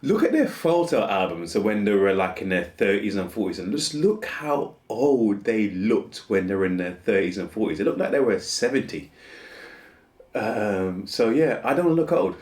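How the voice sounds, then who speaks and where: A man's voice: on the low side, lively intonation, normal speed, darkish tone. A man in his forties musing casually on a dating question in a rambling, self-amused way, restarting his sentences often. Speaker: vlogger. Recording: echoey room.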